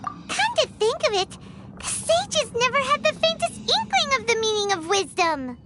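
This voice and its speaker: A woman voicing a tiny, excitable floating companion girl who talks in chirpy, high exclamations in a game cutscene. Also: normal speed, slight background noise, exaggerated voice. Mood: curious. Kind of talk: video game cutscene dialogue. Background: game score music.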